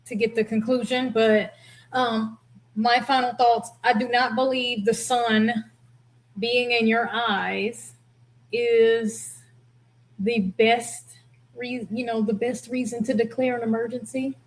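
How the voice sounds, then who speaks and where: A woman hosting a casual reaction commentary, reading out comments with a blunt, expressive delivery. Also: fairly high pitch, slow. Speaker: host, former air traffic controller.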